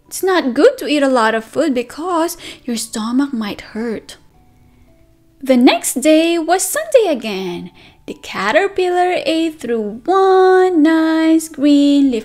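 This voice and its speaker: A woman teacher reading a picture book aloud to toddlers, asking questions and counting along with them. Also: very high-pitched. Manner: animated sing-song, asks children questions and counts along. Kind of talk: read-aloud circle time for toddlers. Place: indoors at a table, only hands and book in frame.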